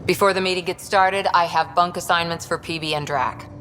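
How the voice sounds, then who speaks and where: An actress playing a brisk spaceship crew officer in a video game. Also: female voice, lowish voice, fairly even intonation, fairly bright tone, a little hiss or hum, close to an everyday voice. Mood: businesslike. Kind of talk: video game dramatic dialogue.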